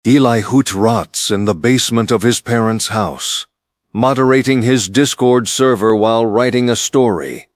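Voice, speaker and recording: A man's voice: mid-range voice, normal speed, no echo, studio quality. A man delivering scripted, comedic story narration. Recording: music behind the voice.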